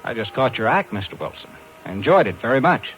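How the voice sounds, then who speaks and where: Lively, wry talent agent. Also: male voice, mid-range voice, fast talker, faint music behind, low-quality recording. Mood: persistent. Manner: wry, hard-boiled. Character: brash, persistent male New York talent agent. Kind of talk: 1950s radio drama acting with first-person narration. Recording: 1950s radio recording.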